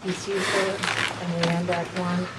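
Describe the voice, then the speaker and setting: A woman's voice: lowish voice, flat delivery, unhurried. Woman reading stage directions far off. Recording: distant over loud crowd and noise.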